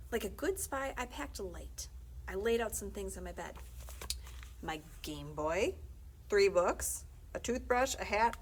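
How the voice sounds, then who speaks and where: A woman reading a children's book aloud expressively for library story time, with asides to her listeners. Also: medium pitch. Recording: faint background noise.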